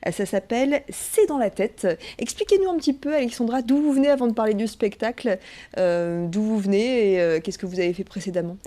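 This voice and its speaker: A woman's voice: on the high side, a little fast, mellow. A woman hosting a show, interviewing a guest in a conversational studio style.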